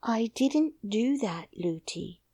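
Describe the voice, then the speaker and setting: A woman voicing a calm, dignified little princess who speaks quietly and firmly in an audiobook. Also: fairly high pitch, a little slow, no room sound, clean studio sound, pitched above the performer's own voice. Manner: quiet, composed, firm. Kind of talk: audiobook character voice.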